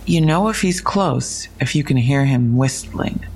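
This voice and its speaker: An actress playing a frank, inquisitive police investigator in an audio drama, matter-of-fact and wry, with a husky, creaky voice. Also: female voice, rumbling low, expressive, a little slow, a little mellow, soft background music, heavily acted, poor audio. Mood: wry, intrigued. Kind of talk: full-cast audio drama dialogue.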